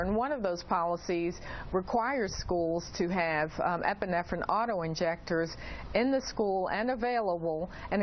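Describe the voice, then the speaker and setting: A woman speaking as an expert guest in an interview, explaining in a hesitant way with frequent pauses. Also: lowish voice. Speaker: expert guest, principal investigator. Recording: dull lo-fi audio, clearly noisy.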